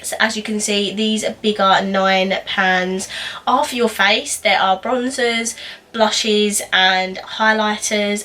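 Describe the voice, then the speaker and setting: A woman's voice: medium pitch, a bit bright, distant mic. A young woman with a slightly nasal voice, getting over a cold, chatting through a makeup review in her bedroom with lots of "um"s and restarts. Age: young adult. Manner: chatty, rambling, many "um"s and restarts. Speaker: beauty vlogger. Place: small bedroom indoors.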